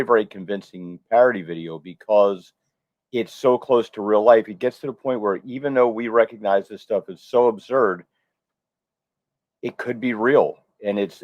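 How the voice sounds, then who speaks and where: A man's voice: fairly low pitch, no room sound. A man giving casual, opinionated commentary as a panelist, recorded on a lo-fi microphone. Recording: dry, dull lo-fi microphone.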